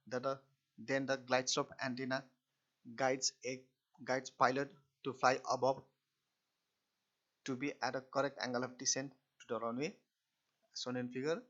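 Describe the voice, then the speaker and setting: A man giving an explanatory technical lecture as a lecturer, in halting, repetitive phrasing with restarts. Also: mid-range voice, a bit bright. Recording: dull, lo-fi recording.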